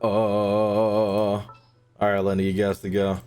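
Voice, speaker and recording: A man's voice: on the low side, monotone, takes it slowly, a little mellow, soft background music. A man doing casual, slangy, joking live commentary as he streams a game.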